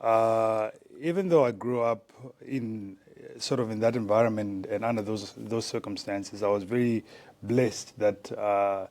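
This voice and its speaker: A man's voice: on the low side, steady tone, measured pace, darkish tone. A man in his forties from Zimbabwe, a former rugby player, talking casually as an interview guest with many fillers and restarts.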